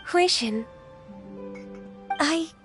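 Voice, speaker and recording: A woman voicing a gentle, dutiful, ageless secretary in a video game, polite and earnest, with a soft youthful voice. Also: high-pitched, lively intonation, very slow, heavily acted. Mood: moved, wistful. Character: gentle, dutiful, ancient young-looking female half-spirit secretary. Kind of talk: English game voice acting. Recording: music behind the voice.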